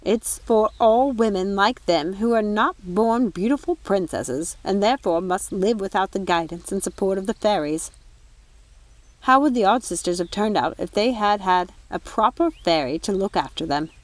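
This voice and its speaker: Woman as older fairy nanny, storybook, reproachful, protective, emphatic, medium pitch. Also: expressive, normal speed, dry recording, close to an everyday voice.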